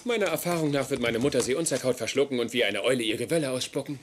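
A man's voice: mid-range voice, rapid-fire, lightly acted. An actor playing a wisecracking, sarcastic young man, a henpecked son in a dubbed sitcom. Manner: sarcastic, quippy. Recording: very noisy sitcom audio with audience ambience.

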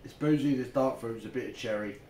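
A man's voice: mid-range voice, brisk, darkish tone. A man with a British accent chatting casually as a guest beer reviewer, blunt, matey and repetitive. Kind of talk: casual chat. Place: small home bar room. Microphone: camera a short distance away. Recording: roomy, distant mic, faint noise.

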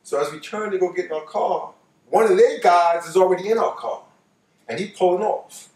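A man's voice: sits high, normal speed, darkish tone. An older American man telling a personal story casually and colloquially, repeating phrases for emphasis. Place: living room couch, indoors. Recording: roomy, distant microphone.

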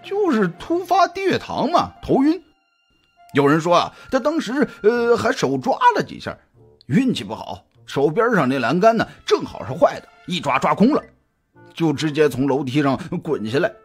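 A man playing a jovial, chatty private detective in an audio drama, talking colloquially with drawn-out hesitations in a husky voice. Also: on the high side, sing-song. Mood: casual, explaining. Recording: music behind the voice.

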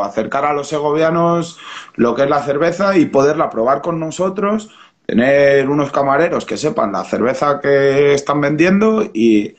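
A man in his thirties with a Spanish accent, speaking at a middle pitch over a video call from a small room at home. His talk is relaxed and rambling, full of restarts and fillers as he explains his brewery. The laptop mic gives it a plain home-call sound. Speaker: brewer and brewery founder, guest. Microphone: webcam or laptop mic on a video call.

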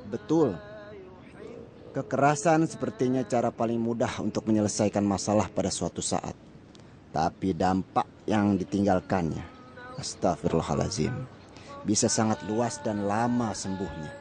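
A calm, wise village cleric with a low, deep voice, as an actor plays him. He speaks patiently, in a gentle counseling tone. It is a radio drama performance. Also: normal speed, slight background noise, natural voice. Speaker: religious teacher.

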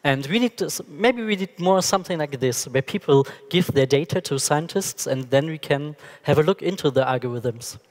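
Young male panelist, plain phrasing, higher voice, hall echo. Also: lively intonation, normal speed, few pauses, a little mellow. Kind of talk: conference panel talk. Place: large conference stage. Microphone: headset mic. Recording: reverberant hall.

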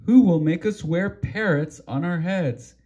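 A man voicing an excitable, theatrical storybook character who is easily alarmed and exclaims dramatically. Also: a little high, unhurried, slightly rough, muffled recording. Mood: excited alarm.

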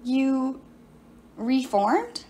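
A woman's voice: sits high, big pitch swings, drawn-out words, dark tone, exaggerated voice. A woman voicing a sulky, sarcastic teenage boy tinkerer in an audiobook.

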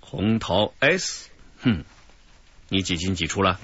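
A stern middle-aged officer in a radio drama, very low and husky. He questions with slow, dry mockery, letting his pitch rise and fall. The sound is dry and lo-fi. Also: animated, a bit bright, dry recording, exaggerated voice. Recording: dull lo-fi radio drama audio.

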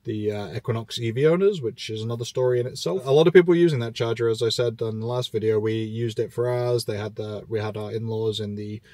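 A man's voice: mid-range voice, quick pace, few pauses, dark tone, no room sound. A man in his thirties, host of an EV channel, talking casually and informatively from a parked car, with many fillers. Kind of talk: casual road-trip commentary.

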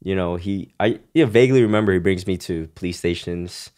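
A man's voice: low-pitched, brisk, a little mellow. A man in his thirties who grew up in Hong Kong, a podcast guest telling his life story in loose, casual talk full of "you know" and "right?". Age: 30s.

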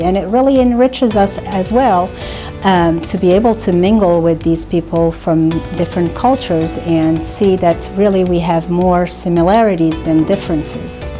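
A woman from Morocco, a French teacher, answering interview questions in a classroom in an earnest, reflective way. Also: on the low side. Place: classroom, indoors.

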